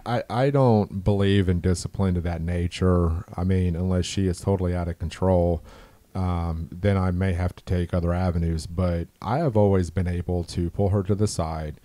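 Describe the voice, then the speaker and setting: A middle-aged man with a deep voice, talking earnestly and slowly about raising his daughter in a casual chat. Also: steady tone, mellow, no echo. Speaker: guest, father.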